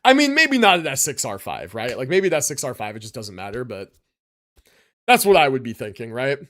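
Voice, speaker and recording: A man hosting a livestream, casually reviewing game builds in relaxed, slangy talk as he thinks aloud. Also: mid-range voice, a little fast. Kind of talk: casual gaming livestream commentary.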